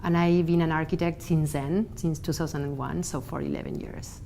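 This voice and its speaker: A female architect from Argentina, speaking thoughtfully in a documentary interview and pausing on frequent ums. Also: deep voice, fairly even intonation, creaky, dark tone, a little hiss or hum.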